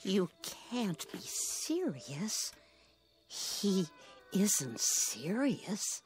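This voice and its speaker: An actress playing a timid, doubtful old woman in a radio drama, speaking in a halting, breathy way. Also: medium pitch, very animated, darkish tone. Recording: radio drama with music underneath.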